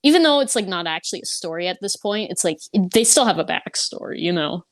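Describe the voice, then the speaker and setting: A woman's voice: on the low side, expressive, fast talker, no echo. A young woman voice actor chatting casually as a podcast guest, rambling with lots of fillers and frequent giggles. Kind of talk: casual chat.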